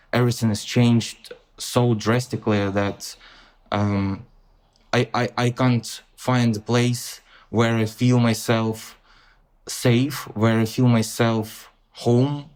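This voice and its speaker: A young man with a Ukrainian accent, a podcast guest answering in a halting, reflective way with many pauses and restarts. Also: mid-range voice, darkish tone. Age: young adult.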